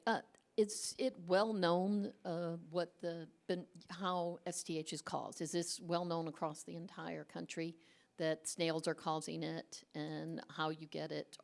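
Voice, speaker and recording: A woman asks a question from the audience at a conference. Her voice is low and gravelly. She rephrases her question several times as she goes. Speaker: audience member asking a question.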